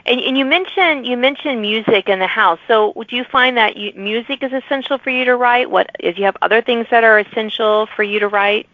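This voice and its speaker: A woman's voice: on the high side, brisk, hardly any pauses, no echo. A middle-aged woman hosting a conversational interview, speaking in an encouraging, affirming way. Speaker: podcast host and writer. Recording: tinny band-limited phone-like line.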